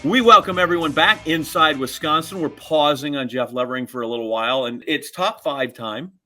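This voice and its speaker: A man's voice: a little high, a little fast, pushed and bright, faint music behind. A middle-aged man co-hosting a podcast, chatting casually about sports with dry, teasing sarcasm. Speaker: podcast co-host.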